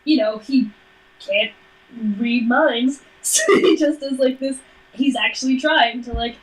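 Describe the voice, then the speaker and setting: A woman's voice: a little high, a little slow, low-quality recording. A woman co-hosting a podcast, discussing a book casually in a playful, sarcastic, slangy way. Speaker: podcast co-host.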